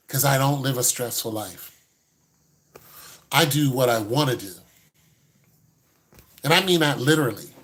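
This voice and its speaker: A man's voice: mid-range voice, fairly bright tone. A man in his fifties, a host giving blunt, no-nonsense advice to a caller in casual chat. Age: 50s.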